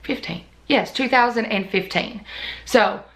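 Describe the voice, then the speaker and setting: A woman's voice: on the high side, a little slow, fairly bright tone. A woman vlogger chatting off the cuff about her budget in long, run-on stories, her voice still a little worn from recovering. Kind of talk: casual off-the-cuff chat to camera.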